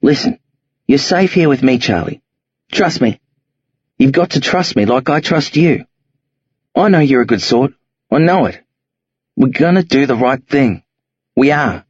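A man voicing a tough, streetwise teenage boy who talks low and rough in slang on a dull, lo-fi recording. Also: expressive, measured pace, pauses often, darkish tone, no echo. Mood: pleading. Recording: dull lo-fi audio.

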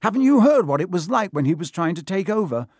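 A man's voice: mid-range voice, lively intonation, normal speed, no echo, studio quality, natural voice. A man voicing a brave, headstrong schoolboy hero in a storybook reading, speaking with urgent insistence. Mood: impassioned.

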